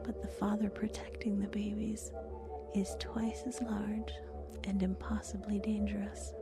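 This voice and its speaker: A woman's voice: mid-range voice, little pitch movement, unhurried. A woman whispering a scripted ASMR episode introduction as an announcer. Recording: music bed under whisper. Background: music.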